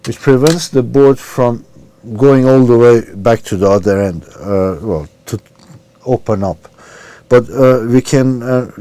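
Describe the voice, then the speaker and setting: Mellow-voiced man giving a hands-on tutorial. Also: mid-range voice. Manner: hesitant, unscripted, talking while working. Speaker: radio repair demonstrator. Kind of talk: informal hands-on step-by-step tutorial. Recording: clear background noise, handling clicks.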